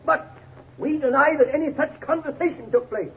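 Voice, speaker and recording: Very high, emphatic defense lawyer. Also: male voice, normal speed. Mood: earnest protest. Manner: formal, protesting, emphatic. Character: indignant, forceful male defense attorney. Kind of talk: 1930s radio acting. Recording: tinny, noisy 1930s radio recording.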